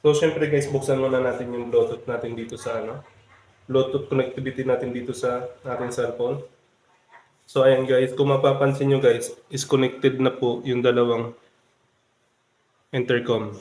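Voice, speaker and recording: A man's voice: mid-range voice, level tone, moderate pace, pauses often, darkish tone. A man with a Filipino accent giving a casual step-by-step product tutorial, often addressing his viewers. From Philippines. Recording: very echoey room, faint background noise.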